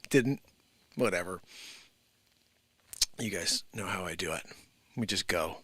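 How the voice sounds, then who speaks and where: A man's voice: sits low, unhurried, bright, forceful tone, husky, no echo. A man casually chatting to a live-stream audience as singer and host, with an informal, breezy, self-deprecating manner. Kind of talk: casual chat to a live audience between songs. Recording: live guitar music in the recording. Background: guitar playing.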